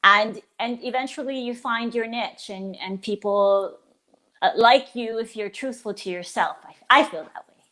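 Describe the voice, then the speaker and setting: A woman's voice: fairly high pitch, normal speed. A woman in her forties from the Philippines, a stage performer talking enthusiastically about her career in a casual interview. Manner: warm and enthusiastic, with frequent restarts. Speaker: guest performer.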